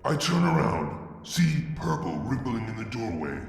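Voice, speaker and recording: A man reading a fanfic aloud in a soft, halting first-person narration. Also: medium pitch, sing-song, vocal fry, reverberant, big-room sound, faint music behind. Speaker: fanfic reader. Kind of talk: dramatic story reading.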